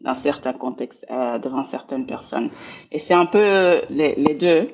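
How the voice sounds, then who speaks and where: A woman's voice: very deep voice, animated, measured pace, a little mellow, highs cut off, slight background noise. A woman in her forties from Belgium, a guest scholar talking reflectively in an interview, often repeating words as she searches for the right phrase. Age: 40s.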